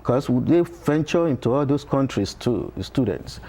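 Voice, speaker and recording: A middle-aged Nigerian man, a Yoruba-speaking professor, explaining patiently in a TV interview with frequent pauses. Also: mid-range voice, lively intonation, mellow. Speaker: professor, guest. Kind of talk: interview conversation. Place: television studio.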